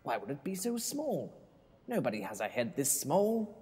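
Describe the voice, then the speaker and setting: Man playing an excitable museum hero, echoey hall. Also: medium pitch, moderate pace, breathy edge. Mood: curious. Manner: exclaims quirky alliterative oaths, theatrical. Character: excitable, kindly, quaint museum caretaker hero. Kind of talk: children's audio drama acting.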